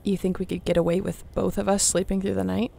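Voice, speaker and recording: A woman playing a young apocalypse survivor, a tender, teasing companion in an intimate audio roleplay, with a creaky voice. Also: mid-range voice, level tone, warm tone, slight background noise. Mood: relaxed, caring. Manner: unhurried, intimate, playful.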